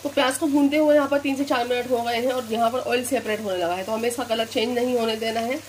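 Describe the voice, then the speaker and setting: Calm woman giving cooking steps. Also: fairly high pitch, fast talker, a little hiss or hum. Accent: Hindustani, Delhi area. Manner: calm instructive, repeats "here" and "this way". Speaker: cooking channel host. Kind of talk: step-by-step recipe voice-over.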